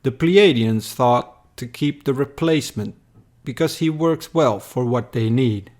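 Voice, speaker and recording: Very slow, solemn male narrator. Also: medium pitch, darkish tone. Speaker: narrator, poem reader. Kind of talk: scripted dramatic poetry narration.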